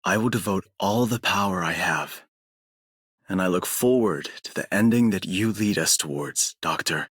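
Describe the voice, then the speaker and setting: A man's voice: sits low, normal speed, a bit bright, breathy edge. A man playing a solemn, devoted survivor in a game, speaking earnestly. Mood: solemn, loyal. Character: solemn, devoted male survivor, quietly loyal. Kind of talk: scripted game voice lines.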